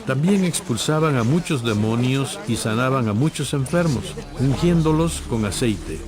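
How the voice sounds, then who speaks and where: A man narrating a dramatized audio Bible from a script in solemn, clearly phrased storytelling. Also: medium pitch, expressive, moderate pace.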